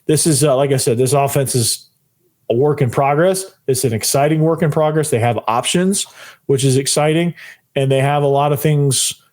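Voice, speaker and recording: A young man hosts his own sports podcast with a hoarse, worn-out voice that sounds close to giving out. He talks in an excited, rambling way, circling back over the same phrases and dropping "man" at the ends of sentences. His pitch sits in an ordinary middle range. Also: normal speed. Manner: fired-up, rambling, repeats phrases, says "man" often. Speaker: podcast host. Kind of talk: solo sports podcast talk.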